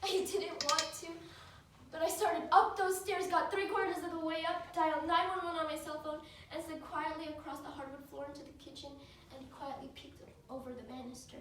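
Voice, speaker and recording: A woman performing a dramatic monologue as a grieving, guilt-ridden young woman, with emotional, deliberate delivery. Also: sits high, steady tone, close to an everyday voice. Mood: tense, haunted. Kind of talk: competition dramatic interpretation monologue. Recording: echoey room, far mic, faint noise.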